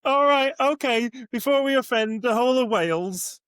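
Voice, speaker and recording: A man with a high voice co-hosts a podcast, cracking jokes, swearing casually and laughing easily. His voice is recorded dry and close, with no room echo. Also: moderate pace, a bit bright, clear, resonant tone. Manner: jokey, swears, laughs a lot. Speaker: podcast co-host.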